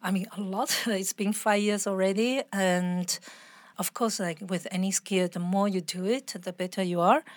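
A woman's voice: medium pitch, fairly even intonation, normal speed, dry recording. Chatty woman, dry sound. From Taiwan. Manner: chatty, laughs often, restarts sentences. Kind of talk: casual interview conversation.

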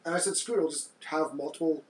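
A man with a deep voice co-hosting a podcast in casual chat, soft-spoken and hesitant, often restarting his sentences. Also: steady tone, fast talker, reverberant, a little hiss or hum, rough recording. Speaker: podcast co-host.

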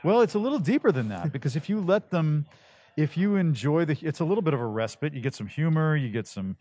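Deep bass-baritone middle-aged man. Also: fast talker. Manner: animated, jumps up in pitch for emphasis. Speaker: voice actor guest. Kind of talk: casual convention interview.

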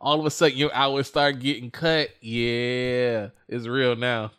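A man's voice: medium pitch, little pitch movement, unhurried, a bit bright, clear, resonant tone. A man who is a dentist, co-hosting a casual podcast chat with blunt, informal, teasing remarks. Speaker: dentist, co-host.

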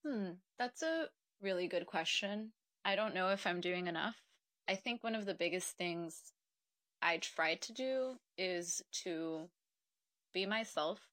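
Woman guest, twenties, from Mexico; low-ish, bright and dry, reflective, earnest. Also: unhurried, dry recording. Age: 20s. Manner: reflective, earnest, with frequent ums. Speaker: podcast guest. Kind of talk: casual interview conversation.

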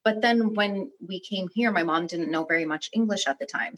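A young woman from Albania, a guest in a casual interview, telling her story in a frank, animated way. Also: medium pitch, slightly rough, low-quality recording.

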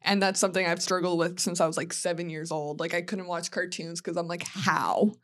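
A woman talking casually among friends at mid pitch. She tells stories in an animated manner, slipping into little acted quotes. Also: fairly quick. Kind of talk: casual chat.

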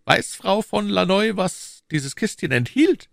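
An imperious male cardinal from an audiobook. The voice is pitched strikingly high and swings widely. He questions briskly and commands keenly, in a dry recording. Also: big pitch swings, creaky, no room sound, heavily acted. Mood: eager, probing. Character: imperious, keen, commanding cardinal, adult man. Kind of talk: audiobook character dialogue.

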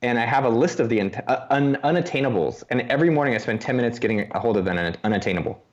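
Young man, casual podcast chat, animated, swears a lot, lively pitch. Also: rapid-fire. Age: young adult. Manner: animated, swearing, self-mocking. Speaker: podcast guest. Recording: dull lo-fi audio.

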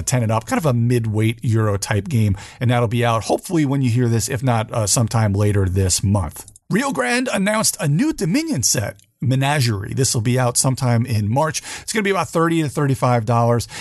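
Guy doing a casual board game news podcast, mid-pitched voice, really dry close sound, explains stuff loosely. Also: darkish tone, no room sound. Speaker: podcast host.

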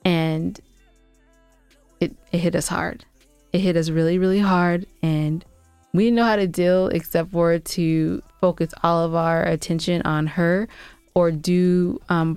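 A woman's voice: on the low side, gravelly, darkish tone, clean tone, no echo. An American woman co-hosting a podcast, chatting casually and sharing show updates on a close microphone. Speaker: podcast co-host.

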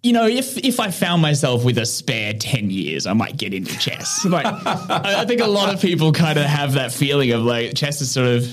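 A man's voice: a little high, very animated, normal speed. A man who founded an online chess training platform, speaking reflectively as a guest in a casual interview and often laughing as he searches for words.

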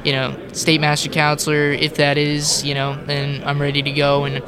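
A man's voice: a little high, completely flat delivery, normal speed, crowd noise. A teenage boy being interviewed, answering in an earnest way with many fillers. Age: teens. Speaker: guest. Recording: crowded event hall.

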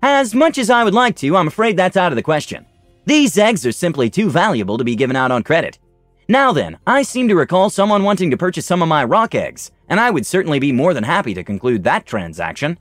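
A man voicing a polite, flattering traveling merchant in his late thirties in a told fantasy story. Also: a little high, a little fast, no room sound, soft background music, voice pushed up. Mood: politely firm.

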